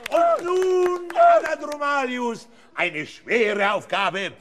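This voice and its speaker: Radio play ringmaster: very high voice, slow grand delivery, excitable showman. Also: a crowd in the background, background noise, heavily acted. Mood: teasing showman. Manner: grandiose, theatrical showman's patter. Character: excitable male circus ringmaster announcing grand attractions. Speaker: circus announcer. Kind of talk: 1970s German children's radio play acting.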